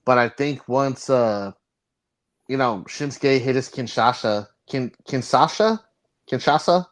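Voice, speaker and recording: A man co-hosting a wrestling podcast, chatting casually, laughing often and swearing when excited. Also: medium pitch, a little slow. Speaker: podcast co-host.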